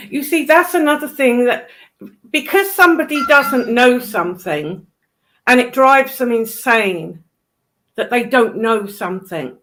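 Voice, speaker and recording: A British woman talks casually with a slightly raised pitch. She is blunt and dismissive, using everyday slangy speech as she chats. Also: a bit bright. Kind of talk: casual chat.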